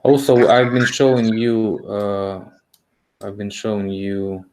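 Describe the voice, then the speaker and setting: Livestream host, man, lowish voice, hesitant stop-start talk. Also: fairly even intonation, a little mellow, poor audio. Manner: hesitant, halting, stumbles over words. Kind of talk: casual livestream chat.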